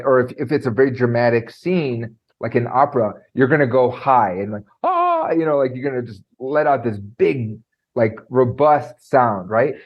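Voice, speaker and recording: A man coaching on presentation skills, talking in an animated way and filling his speech with "like" and "right?"; he has a trained high singing voice. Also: dull audio. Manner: animated, lots of fillers like "like" and "right?". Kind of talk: conversational podcast interview.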